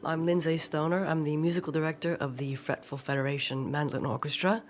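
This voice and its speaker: A woman's voice: low-pitched, fairly even intonation, fast talker. A woman, a musical director, talking in an informal interview.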